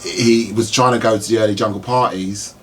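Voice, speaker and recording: A man hosting a podcast in casual chat, agreeable and quick to chime in with "yeah.". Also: on the low side, fairly even intonation, fairly bright tone, slight background noise. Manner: agreeable, constant "yeah" backchannels. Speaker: podcast host.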